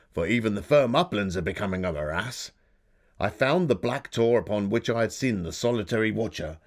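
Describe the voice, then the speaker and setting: A man voicing an earnest Victorian gentleman, reading his diary as a solemn, dramatic literary storyteller. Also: on the low side, pitched below the performer's own voice. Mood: brooding, grim.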